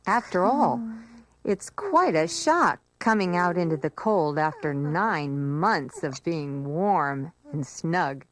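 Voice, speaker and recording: A woman's voice: sits low, lively intonation, slow pace, background noise. A woman narrating a scripted educational story for young children in a simple, explanatory way.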